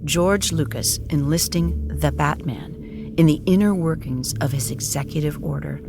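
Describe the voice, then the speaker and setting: A woman narrator giving a solemn, measured, dramatic documentary-style narration for an audio drama. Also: low-pitched. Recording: clear music behind.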